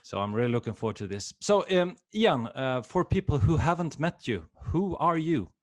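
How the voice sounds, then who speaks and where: A man's voice: fairly high pitch, big pitch swings, normal speed. A man hosting a webinar interview as director of education, upbeat, often saying "fantastic", with hesitant "um"s and "uh"s.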